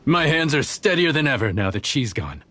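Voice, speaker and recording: A man playing a friendly, grateful, excitable young inn cook in a video game. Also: mid-range voice, normal speed, noisy background, lightly acted. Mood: amazed, grateful. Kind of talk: video game cutscene acting.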